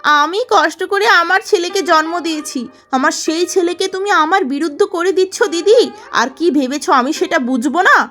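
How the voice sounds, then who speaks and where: A woman voicing a jealous, sharp-tongued young wife and mother in a melodramatic cartoon story, indignant in manner. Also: very high voice, normal speed. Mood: jealous, angry. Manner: scolding, indignant.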